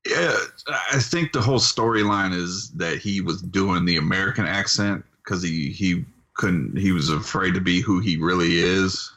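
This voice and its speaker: A man with a very low voice that still has a bright, firm edge. He talks as a podcast co-host in a casual, conversational way. His manner is wry. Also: moderate pace, few pauses. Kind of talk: casual chat reviewing wrestling.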